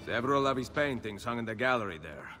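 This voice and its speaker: An actor playing a stern, commanding hooded Renaissance assassin who speaks tersely in a video game. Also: male voice, medium pitch, animated, fast, pushed and bright, soft background music. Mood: grim. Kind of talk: dramatic video game cutscene acting.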